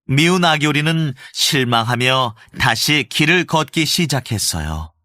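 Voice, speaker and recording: Expressive male storybook narrator. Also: mid-range voice, measured pace, dry recording, clean studio sound. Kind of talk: scripted storybook narration for children.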